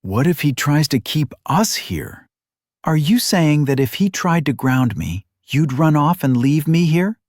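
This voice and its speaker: A man's voice: a little high, lively intonation, a little slow, a little mellow, dry recording, studio quality, pitched above the performer's own voice. A man voicing an earnest, headstrong young noblewoman with an anxious edge in an audiobook. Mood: indignant. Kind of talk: audiobook character acting.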